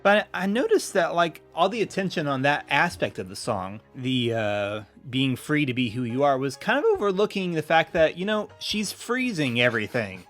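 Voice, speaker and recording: An American man co-hosting a casual podcast, explaining things in a halting, rambling way and often correcting himself. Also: a little high, fairly bright tone. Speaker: podcast co-host.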